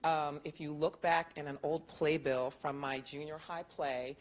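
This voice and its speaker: Middle-aged woman moderator, low voice, chatty onstage interviewer. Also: little pitch movement, a little slow. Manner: chatty, laughing, self-deprecating asides. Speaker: moderator of a panel conversation. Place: event room before an audience. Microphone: handheld mic, at first off and from far away. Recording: distant, quiet pickup from across the room.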